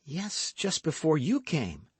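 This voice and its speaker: A man's voice: fairly high pitch, huge pitch swings, slow pace, husky, no room sound, voice pushed up, heavily acted. A man voicing a frightened, lovestruck young maidservant in an audiobook, with a pleading, devoted manner. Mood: anxious. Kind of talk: audiobook character acting.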